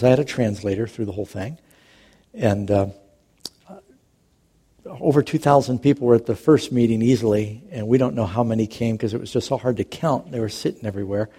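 A man's voice: mid-range voice, mellow. A middle-aged man, a pastor, preaching a sermon in a conversational, storytelling way with touches of humor.

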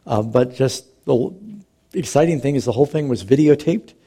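A middle-aged man delivers a sermon as a pastor. His voice sits at an ordinary pitch but sounds mellow and warm. He talks in a relaxed, conversational way, mixing stories and humor into the preaching. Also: a little fast, breathy edge.

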